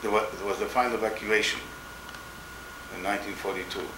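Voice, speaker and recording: An older man from Poland giving oral history testimony in a slow, halting way, often repeating words. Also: on the low side, fairly even intonation. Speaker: interviewee, Holocaust survivor. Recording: echoey room with background noise.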